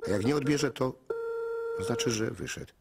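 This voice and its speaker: A man's voice: medium pitch, normal speed, heavy background noise, natural voice. An actor playing a whimsical, lovestruck man in a radio play, narrating with playful, theatrical asides. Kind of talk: radio drama acting.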